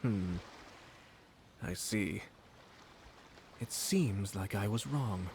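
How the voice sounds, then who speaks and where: Ayato, a poised noble commissioner. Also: male voice, lowish voice, creaky, a little hiss or hum, exaggerated voice. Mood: calm, cutting. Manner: measured, courteous, quietly sardonic. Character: composed noble male commissioner, politely cutting. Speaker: game character. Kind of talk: video game voice acting.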